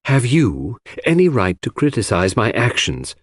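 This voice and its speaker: A man plays a cool, defiant wife in an audiobook. The delivery is controlled and challenging, lifted in pitch with lively intonation. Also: unhurried, breathy edge, no room sound, studio quality, exaggerated voice. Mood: cool defiance. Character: cool, defiant married woman.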